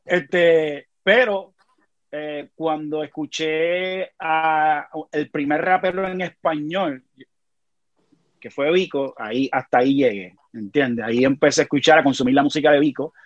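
Puerto rican guy chatting, kinda high voice, dry close sound, tells stories with lots of restarts. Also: a little slow, dry recording, highs cut off. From Puerto Rico. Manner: animated storyteller, frequent restarts. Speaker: guest. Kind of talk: casual conversation.

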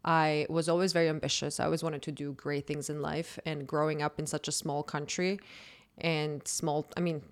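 A woman in her thirties from Croatia, speaking English. Her voice sits low and sounds smooth and resonant. She tells long stories in a relaxed podcast conversation and laughs often. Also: moderate pace. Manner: animated, laughs often, long storytelling turns. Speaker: podcast host and dating content creator.

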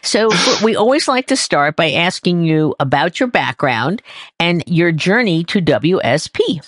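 A woman hosting a podcast, reading a scripted introduction and then chatting, who speaks expressively and slows down to stress key words. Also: lowish voice, big pitch swings. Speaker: podcast host.

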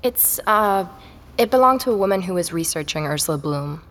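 An actress playing a curious, quietly persistent young woman visitor, speaking tentatively in naturalistic audio drama dialogue. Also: fairly low pitch, animated, a little fast. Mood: tentative, insistent. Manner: tentative, inquisitive. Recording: long hall-like reverb.

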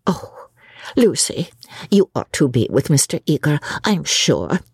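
A woman voicing an anxious, prim, self-effacing older spinster from a novel, in a hushing, fretful manner. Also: low voice, sing-song, a little slow, creaky, husky, no echo, full character voice. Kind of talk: audiobook character voice.

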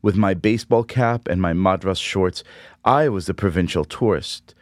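A middle-aged man, Hebrew speaker, reading a personal essay aloud in a measured, reflective, wry way. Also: sits low, no room sound, studio quality. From Israel.

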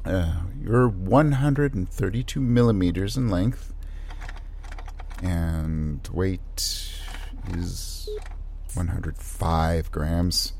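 An actor playing a fussy, awkward but kind scientist in an audio drama, with a husky, halting, precise delivery. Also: male voice, low-pitched, darkish tone, a little hiss or hum, full character voice. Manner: halting, hesitant, mild-mannered and precise. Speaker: scientist and doctor.

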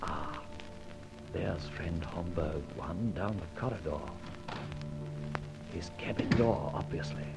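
An actor playing a breezy, scheming gentleman adventurer in an old radio serial, with clipped old-fashioned diction. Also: sits low, slow, vocal fry, long pauses, loud music behind the voice, heavily acted. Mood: low, conspiratorial. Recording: muffled, noisy vintage radio recording.

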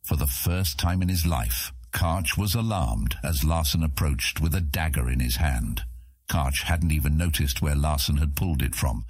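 A man's voice: rumbling low, moderate pace, a little mellow, slightly rough, no echo, studio quality. A man reading scripted epic fantasy narration for an audiobook.

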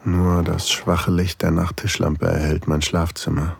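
A very deep, husky male voice with a gravelly creak. an older single father in an audio drama, tender and full of longing. He confides softly and intimately. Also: little pitch movement, measured pace, dry recording, exaggerated voice.

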